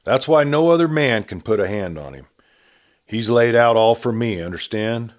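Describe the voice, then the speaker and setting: A man's voice: mid-range voice, animated, moderate pace, lightly acted. Man voicing a drawling, half-wild young western drifter. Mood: quietly menacing. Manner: drawling, gentle, unemotional. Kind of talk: audiobook character voice, rustic western speech.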